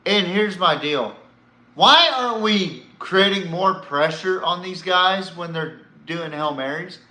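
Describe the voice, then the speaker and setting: A man's voice: high voice, slow, sharp tone, echoey room, low-quality recording. A man hosting a sports podcast, giving casual, opinionated commentary in a blunt way, swearing and throwing out rhetorical questions.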